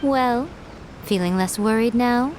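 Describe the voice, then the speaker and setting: A woman's voice: fairly high pitch, takes it slowly, a little mellow, a little hiss or hum, poor audio. A woman voicing a sly, composed fox-spirit shrine maiden in a video game, teasing and knowing. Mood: assured. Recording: game music behind the voice.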